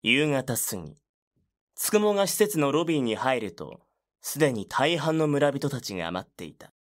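A man's voice: medium pitch, very animated, moderate pace, lightly acted. A man narrating a voice drama in scripted storytelling style.

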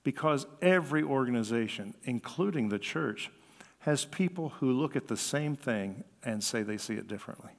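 An older man with an American accent preaches in a conversational way from a church lectern. His voice sits at a middle pitch and rings with the long reverb of the hall. He delivers with animation, slipping into the words of the people he quotes. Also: lively intonation, normal speed, vocal fry. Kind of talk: sermon, conversational. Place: church sanctuary at a lectern, indoors.

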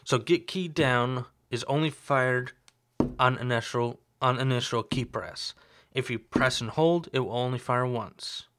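A young man with a slight sore throat, talking through a screen-recorded coding tutorial in a relaxed, explanatory way. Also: mid-range voice, measured pace. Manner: relaxed, explanatory, thinks aloud with fillers. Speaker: tutorial host.

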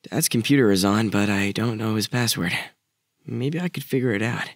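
A man voicing a soft-spoken, wistful teenage boy in a mystery game fan dub. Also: lowish voice, normal speed, no room sound, close to an everyday voice. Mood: subdued, wistful. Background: guitar music.

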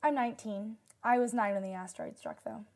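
A woman's voice: medium pitch, sing-song, brisk, darkish tone, lightly acted. A young woman playing a solemn nineteen-year-old disaster survivor giving testimony in a radio drama. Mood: somber, reflective. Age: young adult.

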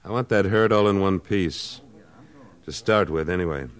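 Male actor as western trail boss, deep voice, terse, commanding, tough, old-time radio drama. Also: normal speed, loud music behind the voice, natural voice. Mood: resolute. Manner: terse, authoritative. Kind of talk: 1950s radio western acting.

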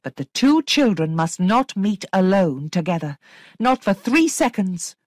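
A woman's voice: medium pitch, very animated, measured pace, darkish tone, no echo, studio quality, voice pushed up. A woman voicing an anguished young governess in a storybook reading, with intense, emphatic delivery. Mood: urgent. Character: anguished young governess, desperate, insistent, haunted. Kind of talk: dramatic audiobook character acting.

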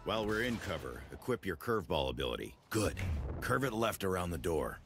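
Gravelly game squad leader, commanding, terse, swinging intonation, music behind. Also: male voice, low-pitched, big pitch swings, brisk. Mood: focused. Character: commanding low-voiced male military squad leader. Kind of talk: tactical game tutorial dialogue.

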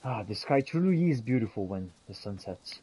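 A man's voice: lowish voice, animated, normal speed, dark tone, slightly rough, poor audio. A man role-playing a musing, companionable fantasy adventurer in a video game, speaking in theatrical, archaic phrasing as he comments on his journey. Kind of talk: in-character gameplay commentary.

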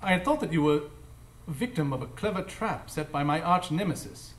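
An actor playing a stern, agitated analytical detective, formal and accusing, in a live radio drama. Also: male voice, fairly high pitch, expressive, normal speed, natural voice. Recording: roomy live stage echo.

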